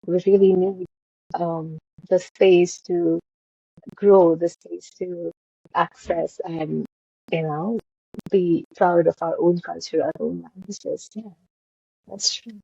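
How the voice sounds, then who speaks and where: A woman hosting a podcast who asks long, halting questions full of "uh" and "you know". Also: lowish voice, steady tone, creaky, mellow, slightly rough, no room sound. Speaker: podcast host. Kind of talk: interviewing a guest. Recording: dull, dry lo-fi audio.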